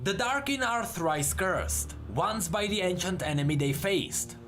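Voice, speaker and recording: A man reading scripted fantasy lore as a narrator, in a measured, dramatic storytelling manner. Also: high voice, expressive, a bit bright, soft background music.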